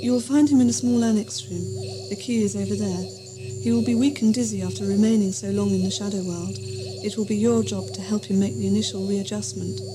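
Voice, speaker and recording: An actress playing a villain's scheming, secretly ambitious assistant in an audio drama, composed, icy and formal. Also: female voice, medium pitch, fairly even intonation, brisk, music in the background, lightly acted. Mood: brisk, determined. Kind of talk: audio sci-fi drama acting.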